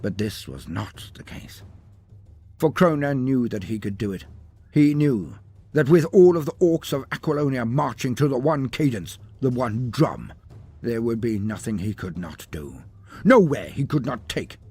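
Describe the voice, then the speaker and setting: Husky man narrating dramatically. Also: medium pitch, animated, unhurried, darkish tone. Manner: theatrical, with emphatic short phrases. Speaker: storyteller narrator. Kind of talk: dramatic scripted fantasy narration.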